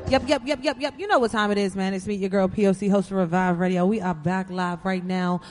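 A woman's voice: medium pitch, steady tone, quick pace, over music. A woman hosting a live radio show from a busy conference, chatting casually in slang and laughing often. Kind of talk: casual live broadcast chat.

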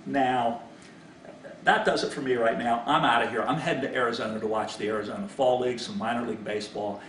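A middle-aged man delivers sports commentary in an informal, teasing way, at a medium pitch. He is recorded in a small, echoey room, a little away from the microphone, with some noise behind his voice. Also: steady tone, fast talker, a bit bright. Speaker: sports analyst. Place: small indoor home office. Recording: roomy, distant mic, background hiss.

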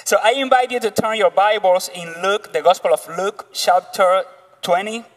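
A man in his thirties with a Cuban accent, preaching as a guest on a church stage with a slow, careful delivery. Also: high voice. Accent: Cuban Spanish. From Cuba. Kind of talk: sermon. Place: church stage, large hall. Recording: long reverb of a church hall.

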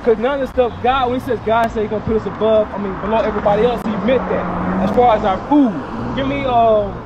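A man's voice: sits high, quick pace, muffled recording, very noisy. A young man street preaching outdoors into a handheld mic, emphatic and questioning, often repeating words. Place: outdoors by a parking lot.

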